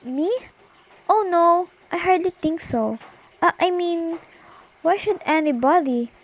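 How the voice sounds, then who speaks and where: A high, fretful young woman playing a bedridden wife in a student radio drama. She is demanding and easily flustered, pressing her case with dramatic, insistent pleas. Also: slow pace, exaggerated voice. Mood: nervous, explaining. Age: teenager. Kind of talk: amateur student radio play acting.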